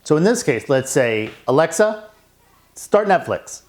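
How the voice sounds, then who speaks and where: A man's voice: a little high, sing-song, unhurried. A middle-aged American man presenting a semi-scripted product demonstration indoors at a house.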